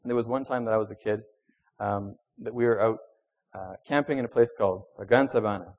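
A man's voice: on the low side, a little fast, darkish tone, muffled recording. A young man who grew up in Venezuela, preaching a sermon in a conversational way with ums and uhs, reading scripture with extra emphasis. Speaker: pastor.

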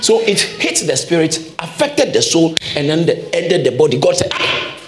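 A man preaches a sermon in a roomy, echoing church hall. His voice sits high and leaps up and down with emphasis, and he hammers points home by repeating them. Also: big pitch swings, normal speed. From Ghana. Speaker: pastor.

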